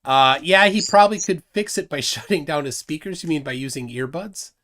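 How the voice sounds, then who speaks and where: A man's voice: on the high side, brisk, a bit bright. A man hosting a casual livestream, reading out viewer comments and laughing often. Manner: chatty, reads chat comments aloud, laughs often. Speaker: livestream host.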